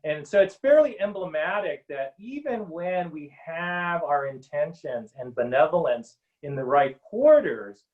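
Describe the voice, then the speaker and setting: A middle-aged man from Hawaii speaking earnestly as a panel guest on a video call, with many pauses and ums. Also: a little high, expressive, narrow, tinny audio. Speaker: panel guest, Native policy advisor. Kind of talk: webinar panel talk.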